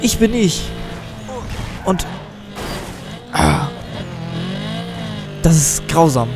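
Teen let's-play gamer, slightly high voice, loose rambling commentary, mild cold, game music underneath. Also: male voice, wildly swooping pitch, drawn-out words. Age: teens. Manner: rambling, chatty, self-deprecating. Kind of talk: casual gameplay commentary. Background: game music and car sounds.

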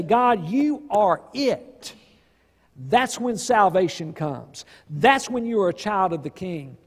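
A man preaching a sermon in an emphatic, folksy way, pausing for weight and asking rhetorical questions. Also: high voice. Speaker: pastor. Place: church sanctuary stage at a lectern. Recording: long reverb of a church hall.

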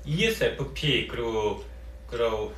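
A man's voice: medium pitch, a little slow. Playful young man chatting over a meal. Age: young adult. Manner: playful, teasing, lively storytelling. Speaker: idol group member hosting a livestream. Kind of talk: casual chat while eating. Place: small indoor office room, seated at a table. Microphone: fixed camera across the table. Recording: roomy, distant mic with faint hiss.

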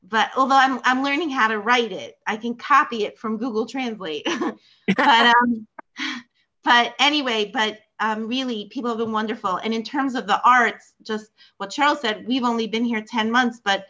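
A woman's voice: fairly high pitch, moderate pace, lo-fi sound. An older American woman with a Philadelphia accent, chatting on a video call from her home in a rambling way, full of fillers and restarts. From United States. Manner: rambling, warm storytelling with many fillers and restarts. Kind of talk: casual chat. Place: home room with bookshelves.

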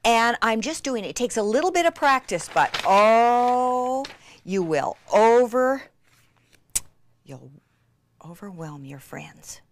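An excitable, gushing woman giving an enthusiastic crafting tutorial, with breathy exclamations and soft, near-whispered asides. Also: fairly high pitch, slow pace, slight background noise. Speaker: craft demonstrator and presenter.

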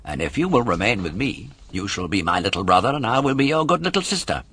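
A man voicing a kindly young female fairy from a storybook, sisterly, gentle and reassuring. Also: on the low side, big pitch swings, quick pace, slightly rough, exaggerated voice.

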